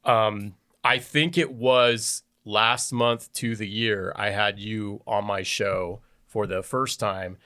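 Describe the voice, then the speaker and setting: A man's voice: on the low side, fairly even intonation, takes it slowly, few pauses, pushed and bright. A man talking as a podcast guest in casual chat, laid-back and friendly, calling people "dude" a lot.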